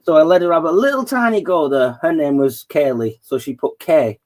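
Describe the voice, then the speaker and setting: A man's voice: fairly high pitch, a little fast. A man, hosting a casual late-night chat, rambling and boasting and laughing at his own stories. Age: 40s. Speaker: host of a live chat panel.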